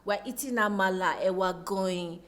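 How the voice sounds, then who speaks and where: A woman's voice: mid-range voice, normal speed, fairly bright tone. A woman with a Nigerian accent talking reflectively as an interview guest, telling things expressively with emphatic repetition.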